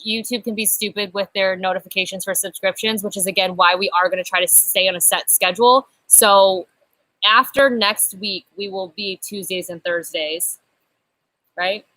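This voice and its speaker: A woman's voice: mid-range voice, fairly even intonation, normal speed, no echo, rough recording. A young woman hosting a casual live stream from an outdoor patio, chatty and upbeat, picked up by a laptop webcam. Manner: chatty, upbeat, self-described loud voice. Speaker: channel host.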